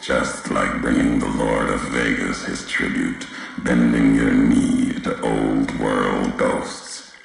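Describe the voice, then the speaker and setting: A vengeful, history-haunted man of the wasteland for a video game. His voice is extremely low and he speaks slowly, in cryptic fragments with heavy pauses. Every phrase rings with deep echo. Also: fairly even intonation, heavily acted. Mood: grim resolve. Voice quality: very deep, gravelly. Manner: cryptic, deliberate, clipped phrases with weighty pauses. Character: brooding, vengeful male wasteland wanderer obsessed with history. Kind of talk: slow brooding game dialogue monologue. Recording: heavily echoing voice, faint background noise.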